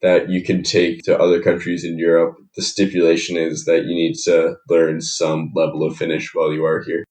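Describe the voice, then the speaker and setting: Podcast guest: young Canadian male, low-pitched, informal and hedging, recorded off-mic in a reverberant room. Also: completely flat delivery, normal speed, few pauses, a little mellow. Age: young adult. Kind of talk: casual interview chat. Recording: roomy echo, distant from mic.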